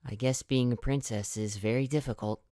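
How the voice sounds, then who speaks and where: A woman's voice: extremely low pitch, steady tone, brisk, mellow, dry recording, clean studio sound. A woman voicing an earnest, bashful young male cartoon hedgehog in a comic dub. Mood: gentle, sleepy.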